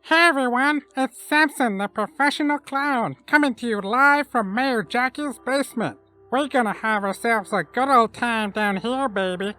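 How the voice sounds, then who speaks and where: A woman's voice: fairly high pitch, a bit bright. A woman voicing a gleefully sadistic killer clown in a theatrical, taunting horror monologue.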